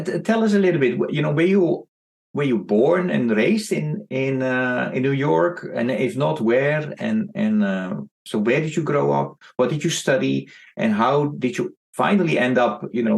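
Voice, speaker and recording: A man's voice: mid-range voice, expressive, normal speed, creaky, a little mellow. A man hosting a podcast, asking eager questions with frequent stammered restarts. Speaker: podcast host. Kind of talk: casual interview.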